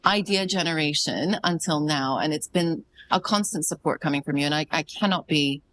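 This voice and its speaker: A woman's voice: low-pitched, moderate pace, fairly bright tone, slight background noise. A woman in her thirties hosts a podcast interview, effusive and grateful as she praises her guest. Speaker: podcast host. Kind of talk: casual interview.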